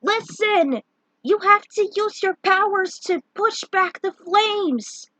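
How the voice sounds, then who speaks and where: A woman's voice: extremely high pitch, lively intonation, takes it slowly, pushed and bright, no room sound, pitched above the performer's own voice, heavily acted. A woman voicing a brave young male knight who leads his friends, speaking in an emphatic, commanding and urgent way. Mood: urgent shouting.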